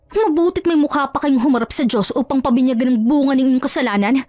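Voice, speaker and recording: Betrayed wife for audio drama, played by a female narrator: high voice, furious, accusing, dramatic delivery, very dry sound. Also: fast, a little mellow, no echo, natural voice. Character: betrayed wife, furious, anguished, accusing, confronting cheating husband.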